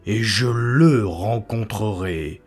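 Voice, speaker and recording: A man voicing a haunted, awestruck occult writer in an audiobook, speaking in a halting, dramatic way. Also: fairly low pitch, soft background music. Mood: fervent awe. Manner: halting, dramatic, hesitant pauses. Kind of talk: dramatic audiobook character reading.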